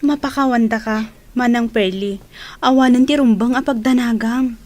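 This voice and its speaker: A woman's voice: a little high, fairly even intonation, normal speed, a little mellow, lightly acted. A woman playing a concerned, peace-making young wife in a radio drama, with an Ilocano accent. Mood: forgiving.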